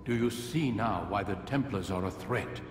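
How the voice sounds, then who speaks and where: A man's voice: lowish voice, normal speed, distant mic, over music, close to an everyday voice. Man voicing a grave old master, echoing hall. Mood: commanding. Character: aged male assassin master, grave, commanding, paternal mentor. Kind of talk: video game cutscene dialogue.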